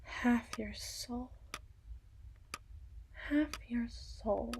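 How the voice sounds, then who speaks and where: A woman's voice: on the high side, very slow, noisy background. A woman playing a seductive, sadistic female demon in a teasing, condescending, theatrical ASMR roleplay monologue. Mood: scornful, incredulous.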